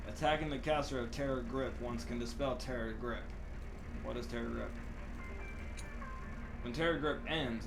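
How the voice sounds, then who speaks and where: A man's voice: mid-range voice, low-quality recording. A man giving casual, informal live game commentary as a streamer, explaining things as he plays. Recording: loud game audio and music behind. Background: video game music and dialogue.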